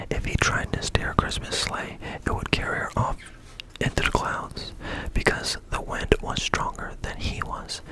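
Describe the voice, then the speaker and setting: A storyteller reading a bedtime story in a soft whisper and adding dry asides. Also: low-quality recording.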